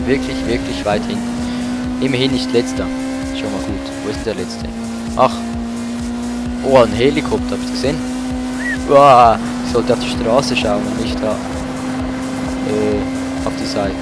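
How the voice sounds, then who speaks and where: A man hosts a gameplay video with loud music and car engines behind him. His pitch sits in the middle range. He talks in a loose, colloquial way, commenting live and tossing in short exclamations as he reacts to the game. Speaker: let's player hosting a gameplay video. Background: racing game music and engine noise.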